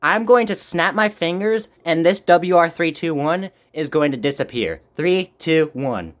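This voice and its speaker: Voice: mid-range voice, animated, a little slow. A video host doing casual unboxing narration amid group chat, announcing things in a playful, showman-like way.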